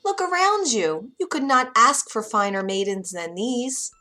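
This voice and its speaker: A woman's voice: a little high, sing-song, measured pace, pushed and bright, soft background music, voice pushed up, full character voice. A woman voicing a proud queen coaxing her son.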